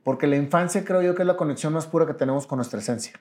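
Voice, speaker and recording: A Mexican man with a slightly high voice hosts an interview like a chat between friends. He speaks earnestly and in a motivational way. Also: very fast, a little mellow. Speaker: interviewer, show host.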